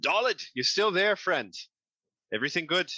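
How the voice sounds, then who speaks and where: A man's voice: sits high, very animated, normal speed, bright, forceful tone, no echo, pitched above the performer's own voice, full character voice. A man voicing a hearty, encouraging adventurer companion in a tabletop fantasy game. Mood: friendly concern.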